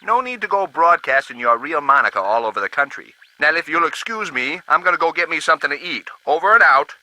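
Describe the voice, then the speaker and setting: A man's voice: a little high, animated, fast talker, pushed and bright, no room sound, poor audio. An actor playing a brash, condescending male radio operator who talks in cocky slang in a game. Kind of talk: game voice acting.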